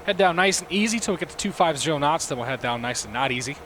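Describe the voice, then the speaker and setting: A hoarse man hosting a livestream, chatting casually and talking himself through each cockpit step as he flies a simulator. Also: fairly high pitch, lively intonation, quick pace, a bit bright, a little hiss or hum. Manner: talks himself through cockpit steps, chats with viewers. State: losing his voice, hoarse. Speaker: livestream host. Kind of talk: casual commentary while flying a simulator.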